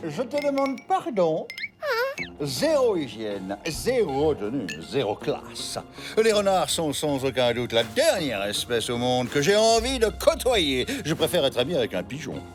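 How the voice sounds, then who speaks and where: Stuck-up cartoon house dog, sneering, pitch leaping all over, music under. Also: male voice, huge pitch swings, unhurried. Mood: haughty. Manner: haughty, disdainful. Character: snobbish pampered male house dog. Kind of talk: French cartoon dubbing.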